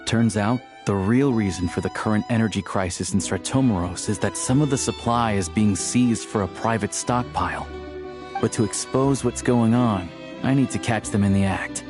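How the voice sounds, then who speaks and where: Male actor as young hunter in a fantasy game: lowish pitch, casual, wry and friendly, light music behind. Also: normal speed, lightly acted. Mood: intent. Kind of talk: video game voice acting.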